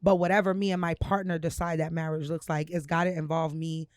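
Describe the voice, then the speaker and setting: Candid woman in her thirties, low and dry. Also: level tone, fairly quick, no echo. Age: 30s. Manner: candid and drawling, with frequent laughs. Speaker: podcast co-host. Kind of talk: casual chat.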